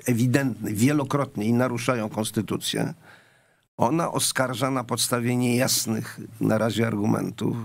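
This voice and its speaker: A man's voice: mid-range voice, creaky, no echo. An older man, a professor and politician, interjecting in a TV studio debate with professorial asides and sayings.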